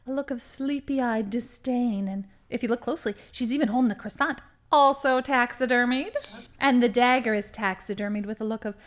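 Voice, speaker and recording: A woman plays a cheerfully murderous female crime boss with a fairly high voice. She boasts and schemes theatrically, delivering her villain speeches with gleeful relish for a comedy audio drama. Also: close to an everyday voice.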